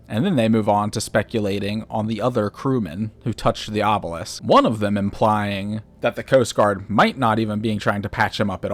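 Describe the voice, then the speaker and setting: Casting: male video essayist with a lowish voice, recap and commentary style. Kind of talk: explainer commentary recapping a horror series.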